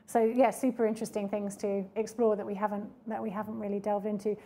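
Mellow woman in a panel talk. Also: medium pitch, level tone, quick pace. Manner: reflective, with many fillers and restarts. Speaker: project presenter at a panel talk. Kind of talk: public Q&A discussion. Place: mid-sized indoor event room with audience.

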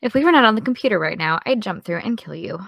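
A woman's voice: mid-range voice, animated, quick pace, gravelly, no echo, lo-fi sound. A young actress playing an exasperated, defensive young woman with dry comebacks in an audio drama. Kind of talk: audio drama dialogue of a video call.

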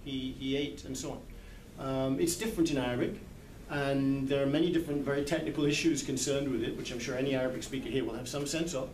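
A man's voice: medium pitch, steady tone, fast, hardly any pauses, a little mellow. Older man, thoughtful hesitant speech, book club discussion, distant mic, roomy echo. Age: 60s. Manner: thoughtful, hesitant, searching for words. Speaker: literary translator. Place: large indoor hall, small group seated in circle. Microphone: camera a few metres away. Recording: echoey, distant camera mic, background noise.

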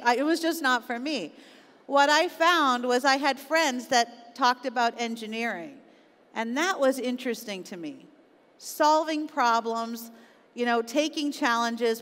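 A middle-aged woman with a Canadian accent giving a motivational keynote, speaking deliberately and stressing key words. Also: sits high, a bit bright. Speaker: conference keynote speaker, business executive. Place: on stage in a large auditorium. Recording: echoey large hall, long reverb.

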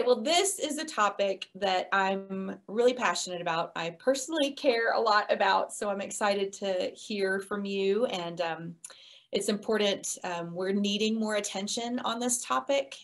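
A woman in her forties co-hosting a podcast, chatting in a bubbly, quick-reacting way over a video call. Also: mid-range voice. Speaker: podcast co-host. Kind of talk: casual chat.

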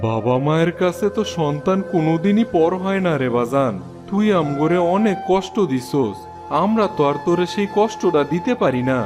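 Man playing a high-voiced humble old villager. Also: animated, moderate pace, music in the background, close to an everyday voice. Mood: hurt, reproachful. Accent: rural eastern Bengali. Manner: folksy dialect speech. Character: humble old village jackfruit seller, grateful, kindly. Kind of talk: cartoon voice acting.

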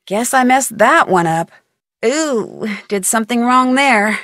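A young female sleuth from a mystery video game, curious and polite. Her voice sits on the high side and moves with animated intonation. The sound is dry and close. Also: slow, no echo. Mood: puzzled. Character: inquisitive, polite young female amateur detective.

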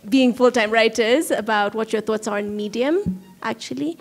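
A woman's voice: on the high side, moderate pace, faint music behind. A young woman from Kenya, an audience member asking a rambling, hesitant question at a panel into a handheld mic. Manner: hesitant, many ums, rambling. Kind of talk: casual spoken question at a panel. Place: large hall with audience.

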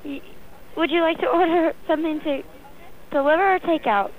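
A woman's voice: very high voice, brisk. A woman answering the phone at a restaurant, patient and polite while puzzled by the caller. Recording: quiet phone line with clear hiss.

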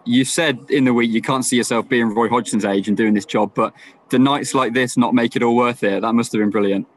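Fast-talking male reporter asking questions. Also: mid-range voice, fairly even intonation. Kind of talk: press conference question.

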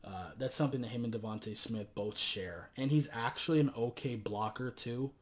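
A hoarse young man giving casual football commentary into a webcam in a small bedroom, talking in a loose, rambling way with many fillers. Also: mid-range voice, moderate pace. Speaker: sports vlogger.

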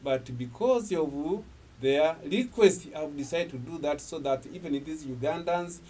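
Older Swahili-speaking preacher, emphatic. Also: male voice, on the high side, measured pace, a little mellow, breathy edge. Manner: emphatic, gestures while preaching. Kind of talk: sermon closing and prayer. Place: indoor studio at a desk. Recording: distant from the mic.

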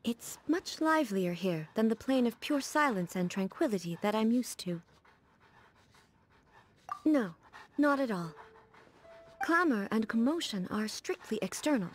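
An actress voicing a serene, dignified female warrior ruler in a video game, speaking formally and with measured composure. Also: fairly high pitch. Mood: composed. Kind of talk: scripted video game character dialogue.

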